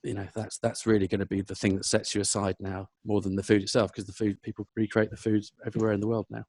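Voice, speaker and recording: Fast-talking London man, low voice. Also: level tone, a little mellow, dry recording, studio quality. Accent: London English. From South London, England. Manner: hesitant, self-correcting, rambling. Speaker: podcast guest, food business professional. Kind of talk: conversational interview answers.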